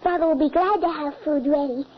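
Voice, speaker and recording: A woman's voice: high-pitched, fairly quick, close to an everyday voice. A woman playing an innocent little peasant girl, the youngest sister, in an old-time radio horror drama. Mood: eager. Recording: muffled, hissy old radio recording.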